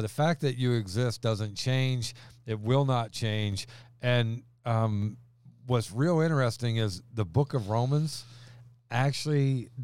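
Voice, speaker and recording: A man's voice: medium pitch, darkish tone, clean tone. A man, a pastor, chatting casually and reading scripture aloud with emphasis.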